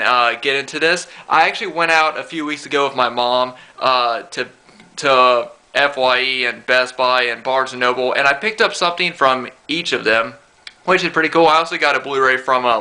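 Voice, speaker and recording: A young man talks in a very bright, forward voice with a stuffed-up nose. He rambles casually, often pausing with ums and starting his sentences over. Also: mid-range voice. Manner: rambling, with many ums and restarts. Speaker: collector vlogger. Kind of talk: casual to-camera show-and-tell.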